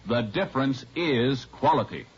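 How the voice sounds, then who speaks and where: A man's voice: medium pitch, lively intonation, unhurried. An American man announcing a scripted 1950s radio advertisement in an emphatic sales style. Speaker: commercial announcer. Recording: muffled vintage radio, faint noise.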